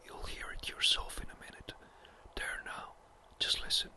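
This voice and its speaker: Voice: normal speed, sharp tone. Brief: flustered rural housekeeper, rambling news, whispered by the narrator. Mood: agitated. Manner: breathless, chattering. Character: agitated gossiping country housekeeper, a woman.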